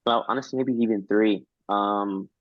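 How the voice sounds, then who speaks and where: A man's voice: fairly low pitch, fairly even intonation, moderate pace, no room sound. A man hosting an interview over a video call, asking questions casually and hunting for words with many ums. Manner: searching for words, lots of ums. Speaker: host. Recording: dry, dull lo-fi call audio.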